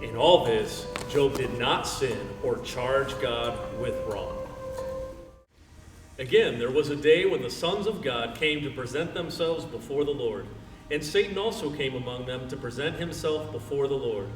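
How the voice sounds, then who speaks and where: A man's voice: medium pitch, lively intonation, unhurried. Echoey male narrator of a Bible drama. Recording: echoey hall, distant, clear music behind.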